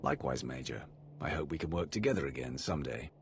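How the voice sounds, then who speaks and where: Seasoned composed commander, super deep gravelly voice, game dialogue. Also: male voice, quick pace, music in the background. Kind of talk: dramatic game voice acting.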